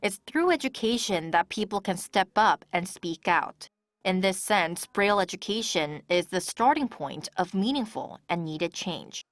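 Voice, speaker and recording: A woman's voice: medium pitch, animated, measured pace, no echo. A woman reporter delivering scripted narration for a television news feature.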